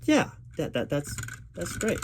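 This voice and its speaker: A man's voice: on the high side, huge pitch swings, measured pace, gravelly. A man playing a recruiting manager in an ASMR interview roleplay, speaking aloud in a reassuring, patient and encouraging way. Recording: background noise with keyboard typing.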